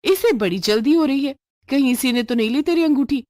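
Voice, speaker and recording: A woman puts on a nosy party guest for a storybook tale, pitched high. She is pushy and suspicious, exclaiming her way through each line. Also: lively intonation, fairly quick, a little mellow, no echo, studio quality. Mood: alarmed, suspicious. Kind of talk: moral storybook voice acting.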